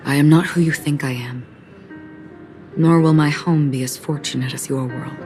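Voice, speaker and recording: A woman playing a melancholic lone wanderer in a video game, speaking in a measured, grave, reflective way with weighty pauses. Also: low voice, fairly even intonation, music in the background, natural voice. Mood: calm, melancholic. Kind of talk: video game cutscene voice acting.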